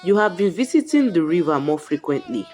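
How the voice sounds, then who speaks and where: A woman storyteller playing a watchful, suspicious African king in a folktale, measured and quietly menacing. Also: on the low side, sing-song. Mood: quietly stern.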